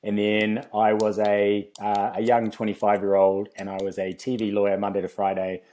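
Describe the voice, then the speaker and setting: A man's voice: fairly low pitch, monotone, moderate pace, mellow. A man from New Zealand with a New Zealand accent, a guest on a podcast, telling his career story in a chatty way, with frequent ums and easy laughs. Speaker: podcast guest, fitness company founder. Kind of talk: relaxed podcast interview.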